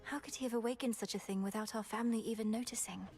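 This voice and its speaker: A woman playing an indignant goddess from a video game, her voice pitched high and lifted. She talks with urgent exasperation, and music plays clearly behind her. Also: fairly even intonation, fast, a little mellow, natural voice. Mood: worried. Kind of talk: game character dialogue.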